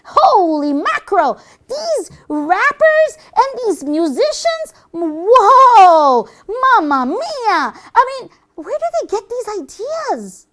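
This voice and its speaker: A woman's voice: very high voice, very animated, slow, hardly any pauses, a bit bright, voice pushed up, full character voice. A woman voicing a cheeky, boastful devil puppet with a brash, wisecracking manner in a comic educational puppet show. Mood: gleeful, boastful.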